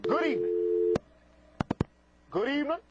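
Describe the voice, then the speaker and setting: A man's voice: very high-pitched, big pitch swings, measured pace. A man with a sassy, scolding, theatrical manner and folksy colloquial speech, hosting a live call-in show and repeating catchphrases. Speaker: host of a live phone-in psychic show. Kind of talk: live call-in TV talk with prank callers. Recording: muffled lo-fi broadcast with clear noise, clicks.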